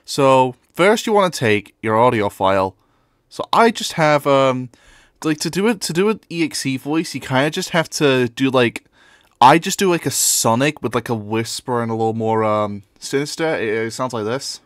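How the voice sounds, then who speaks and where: A man's voice: mid-range voice, expressive, normal speed, fairly bright tone, dry recording. Male tutorial presenter, informal and offhand, recorded dry with very little room sound. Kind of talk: casual screen-recorded software tutorial.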